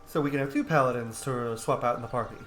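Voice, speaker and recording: A man's voice: mid-range voice, fast talker, fairly bright tone. Informal man commentating over game music. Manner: informal, swearing, talking to himself. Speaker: gaming video host. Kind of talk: casual gameplay commentary.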